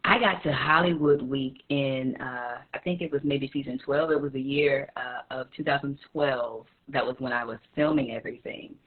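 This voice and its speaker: An American woman with a husky voice, telling her story conversationally as an interview guest. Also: a bass voice, moderate pace, few pauses. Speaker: guest actress and singer. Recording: tinny phone-like call audio.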